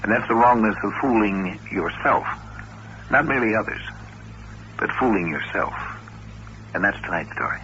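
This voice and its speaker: A man hosting an old radio show, introducing a story in deliberate, emphatic philosophical narration. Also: fairly low pitch, animated, sharp tone, slight background noise. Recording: dull old radio recording.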